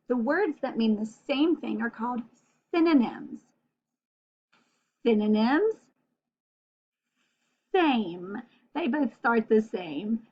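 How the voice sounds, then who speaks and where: A woman teaching young children in a read-aloud vocabulary lesson, expressive and sing-song, drawing out key words and checking in with questions. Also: a little high, highs cut off. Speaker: children's teacher and storybook reader.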